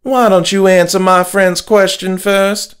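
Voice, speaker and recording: A man voicing a skinny, sneering male cultist with a high-pitched, mock-polite taunting voice in a horror audiobook. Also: little pitch movement, measured pace, a bit bright, smooth.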